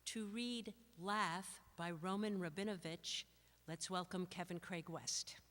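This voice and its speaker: A woman's voice: lowish voice, steady tone, slow, noisy background. A woman hosting a live event, making announcements to introduce readers. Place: large indoor hall at a live event. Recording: echoey hall with long reverb.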